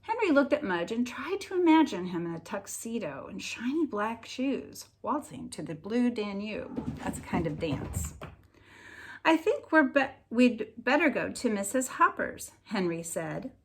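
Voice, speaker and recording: Slow, lively-pitched woman teacher reading aloud. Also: background noise. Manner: deliberate enunciation with expressive storybook emphasis. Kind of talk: slow expressive read-aloud lesson for children.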